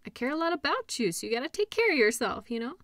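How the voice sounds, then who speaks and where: Bright-voiced caring girlfriend, acted by a woman. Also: high voice, big pitch swings, quick pace, no echo, studio quality. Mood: tender, sympathetic. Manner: soothing, playful, encouraging. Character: caring, upbeat girlfriend comforting an injured partner. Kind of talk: intimate roleplay audio, conversational acting.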